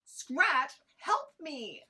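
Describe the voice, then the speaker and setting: A woman's voice: high-pitched, huge pitch swings, drawn-out words, pushed and bright, voice pushed up, full character voice. A woman voicing a whiny, sarcastic immortal trainee in a comic sci-fi audio drama, rambling and protesting. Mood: panicked protest. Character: Napoleon, exasperated, whiny immortal trainee adventurer.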